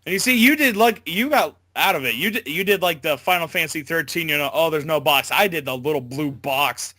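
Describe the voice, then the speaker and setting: A man with a bright, slightly high voice chats casually as an interview guest. He stammers and doubles back on his sentences. The sound is close and dry. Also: dry recording. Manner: stammering, halting, backtracking. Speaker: interview guest, amateur voice actor. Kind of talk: casual chat.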